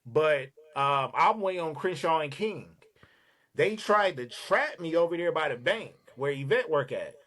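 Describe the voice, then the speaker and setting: A man from Los Angeles, a podcast guest, telling a casual, streetwise story in an animated, profane way. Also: fairly high pitch, a little slow.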